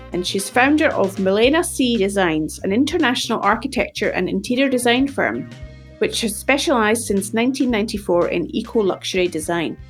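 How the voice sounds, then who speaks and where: Woman podcast host with faint music. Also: medium pitch. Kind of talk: interview hosting.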